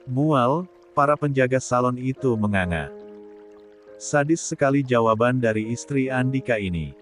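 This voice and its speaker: A man's voice: mid-range voice, lively intonation, moderate pace. A man reads a story aloud as a scripted, dramatic narration. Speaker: audiobook narrator. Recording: music bed behind the voice.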